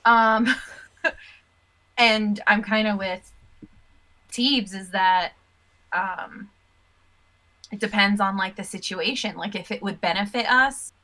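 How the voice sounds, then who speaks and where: A woman with a bright, clear voice talks loosely about her tabletop character. Her talk is casual with mild swearing, at a middle pitch. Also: slow pace, long pauses. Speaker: tabletop game player. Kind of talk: casual chat about a game session.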